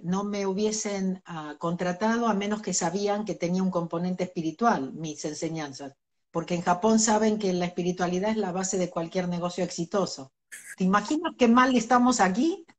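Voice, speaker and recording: Earnest middle-aged woman. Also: mid-range voice. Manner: earnest, laughs between points. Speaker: interview guest, spiritual teacher. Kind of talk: reflective conversational interview.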